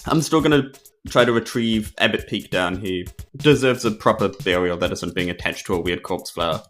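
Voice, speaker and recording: A man's voice: on the low side, moderate pace, fairly bright tone, faint music behind. A man with a New Zealand accent playing his character with dry, self-deprecating jokes. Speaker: player.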